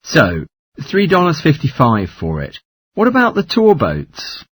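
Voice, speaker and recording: A man playing a polite traveller making inquiries in a scripted dialogue, with a husky voice. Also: a little high, wildly swooping pitch, measured pace, a bit bright, no echo, exaggerated voice. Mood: polite, curious. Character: polite traveller asking for fare information. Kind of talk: scripted listening-test dialogue.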